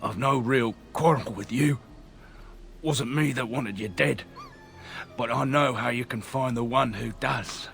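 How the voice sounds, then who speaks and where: An actor playing a defeated mercenary killer in a fantasy game, rough, wry and grudging as he bargains. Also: mid-range voice, measured pace, a bit bright, faint music behind. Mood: placating. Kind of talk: video game dialogue acting.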